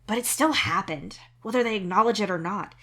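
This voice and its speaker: A woman's voice: mid-range voice, fairly quick, pushed and bright, pitched above the performer's own voice. A woman voicing an earnest, indignant male alien troll with a blunt, emphatic delivery.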